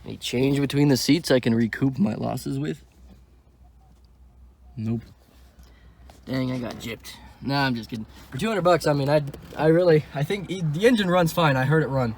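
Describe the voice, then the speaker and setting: A young man talking casually while he works over a cheap car, thinking out loud and tossing in sarcastic jokes. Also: mid-range voice, normal speed, a little hiss or hum. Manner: wisecracking, sarcastic asides; offhand, thinks aloud while working on a car. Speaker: car vlogger. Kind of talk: casual vlog commentary.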